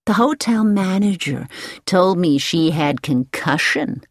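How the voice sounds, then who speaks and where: A woman voicing a pleasant, sociable middle-aged American lady with an American accent who talks slowly and asks questions in an audiobook. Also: lowish voice, lively intonation, no echo, clean studio sound. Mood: gossiping. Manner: slow, inquisitive and sociable.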